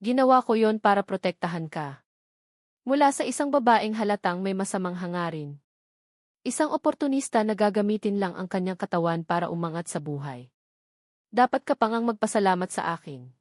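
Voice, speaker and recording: A woman voicing a haughty, scheming wealthy mother in an audio drama, calm, condescending and unapologetic. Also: medium pitch, brisk, no room sound, clean studio sound, close to an everyday voice. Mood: defensive, scornful.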